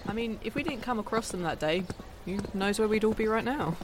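A woman's voice: medium pitch, expressive, a little fast, background noise, close to an everyday voice. A woman playing a friendly, awkward, hesitant survivor in an audio drama. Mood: warm. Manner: hesitant, flustered. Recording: music bed behind the voice.

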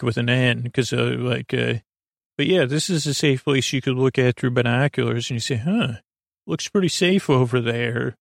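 A man with a creaky voice hosting a podcast as a soothing, gently whimsical bedtime monologue, meandering and hesitant, with many tangents and pauses. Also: medium pitch, dry recording, studio quality. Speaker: podcast host.

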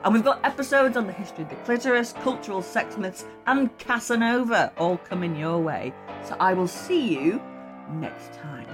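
A woman with a Yorkshire accent hosting a podcast, asking questions and signing off with enthusiasm and warmth. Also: mid-range voice, animated, normal speed, over music. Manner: enthusiastic, friendly. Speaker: podcast host. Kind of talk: podcast interview and outro.

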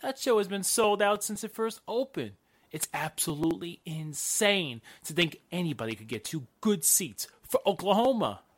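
A man's voice: high-pitched, animated, moderate pace. Man acting a confident 1940s radio-drama sleuth. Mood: incredulous. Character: sharp, confident 1940s man who solves puzzles.

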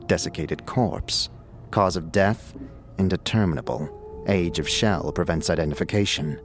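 Man voicing a deep, clipped mortuary clerk. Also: heavily acted. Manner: clipped, clinical listing. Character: dry, methodical mortuary clerk reading ledger entries. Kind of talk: audio drama document reading. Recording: music under the voice.

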